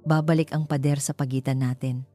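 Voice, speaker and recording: Woman reading tender, low-voiced young man in love. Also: steady tone, a little fast, mellow, soft background music, lightly acted. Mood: pleading, remorseful. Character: tender young male CEO lover, remorseful and devoted. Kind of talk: romance audio story dialogue.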